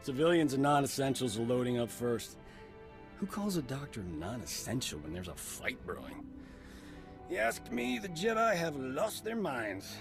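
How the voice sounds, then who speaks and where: Roguish charmer doctor for a game: cocky, glib, theatrical, mid-pitched voice. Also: male voice, sing-song, normal speed, creaky, music in the background. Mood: wry. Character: cocky, flirtatious male army-camp doctor, roguish charmer. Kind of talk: video game cutscene acting.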